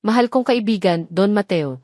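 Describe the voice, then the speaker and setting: A woman's voice: mid-range voice, animated, moderate pace, darkish tone, no echo, lightly acted. Woman as a solemn, grateful grandmother, dry sound. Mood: solemn gratitude. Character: grateful, solemn grandmother writing an old letter. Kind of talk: letter read in audio drama.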